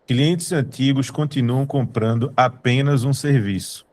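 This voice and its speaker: A man's voice: medium pitch, unhurried, a little mellow. A man with a Brazilian accent from Recife, presenting to an online meeting in a casual, emphatic way, repeating his points. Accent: Brazilian Portuguese, from Recife. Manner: emphatic, repeats points, talks straight to the audience. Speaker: studio co-founder presenting.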